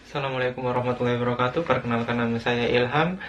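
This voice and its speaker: A man's voice: medium pitch, fairly even intonation, rapid-fire, highs cut off, slight background noise. A young Indonesian man giving an earnest, plain talk in a recorded video, with some hesitations. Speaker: guest speaker living abroad.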